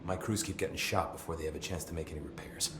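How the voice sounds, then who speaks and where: An actor playing a chatty, nervy, loyal maintenance security chief in a video game, rambling informally with wry swearing. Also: male voice, low voice, fairly even intonation, fast, reverberant, noisy background, natural voice. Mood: flustered, apologetic.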